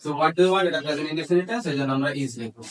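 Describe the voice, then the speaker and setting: A man's voice: fairly high pitch, lively intonation, racing, slight background noise. A man with a Bangladeshi accent teaching step by step, mixing Bengali with English terms and dictating piece by piece. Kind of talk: instructional lesson, explaining step by step.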